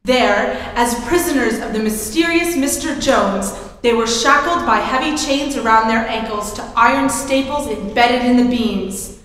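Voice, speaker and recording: Woman, radio drama narrator, higher pitch, scripted, big echo, faint background noise. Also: a little slow, a bit bright. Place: theatre stage, live performance. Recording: very echoey hall, some noise.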